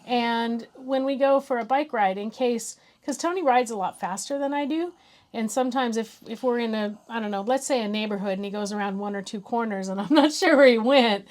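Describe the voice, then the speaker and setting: A woman's voice: fairly high pitch, fairly quick, darkish tone. An American woman co-hosting a casual gadget review in a chatty, conversational way.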